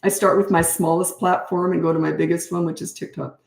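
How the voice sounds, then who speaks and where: A middle-aged woman coaching parents on a casual livestream, giving blunt, practical advice with chatty asides while her voice grows slightly hoarse. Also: on the low side, level tone, brisk, darkish tone, reverberant.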